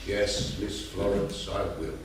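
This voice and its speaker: A man's voice: lowish voice, little pitch movement, slow. A man playing a fond, stubborn, wry old grandfather in a staged play reading. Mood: fond, reassuring. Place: small theatre, staged reading. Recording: echoey theatre, distant mic, faint noise.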